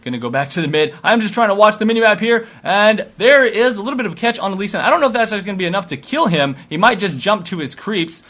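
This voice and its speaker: A man giving play-by-play commentary on a video game, getting excitable as the action builds. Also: high voice, fast, hardly any pauses. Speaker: esports commentator.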